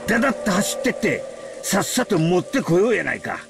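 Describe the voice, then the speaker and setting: A man's voice: sits high, sing-song, rapid-fire, gravelly, exaggerated voice. An actor playing a jovial middle-aged man with a Kansai accent, talking in a hearty, joking way. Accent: Kansai Japanese.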